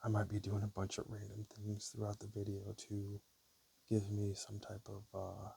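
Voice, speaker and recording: A man speaking softly and casually in an ASMR style, sometimes whispering, with a hesitant, unhurried, low gravelly murmur. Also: monotone, poor audio. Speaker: ASMR creator. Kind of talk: ASMR, soft-spoken and whispered personal talk. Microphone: camera or basic mic, spoken close. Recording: faint hiss on amateur mic.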